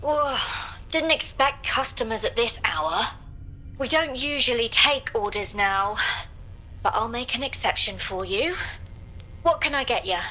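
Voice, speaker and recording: A bright-voiced, informal female vendor from a video game. She is breezy and obliging, speaking a little high, with light music behind. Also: lively intonation, unhurried, poor audio. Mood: surprised, friendly. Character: casual, obliging female food-stall vendor.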